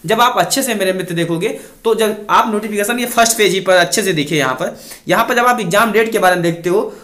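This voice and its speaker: A man's voice: sits high, quick pace, fairly bright tone, echoey room. A young man giving an informal, instructive explainer to students, repeating key points. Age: young adult. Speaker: exam-news YouTuber and coach. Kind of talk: informal explainer addressed to exam aspirants.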